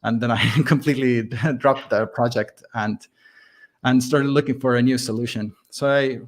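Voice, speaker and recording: A man's voice: medium pitch, steady tone, moderate pace. A man from Estonia with a light Estonian accent, speaking as a podcast guest in a casual, hesitant way with many fillers and restarts. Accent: Estonian, softened by living abroad. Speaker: podcast guest, software founder. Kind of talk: casual interview talk.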